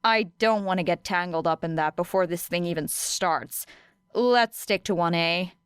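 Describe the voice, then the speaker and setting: A woman's voice: fairly low pitch, normal speed, clean tone, dry recording, clean studio sound, natural voice. A woman voicing a scrappy, determined teenage girl in an audiobook, casual and blunt in manner. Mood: decisive.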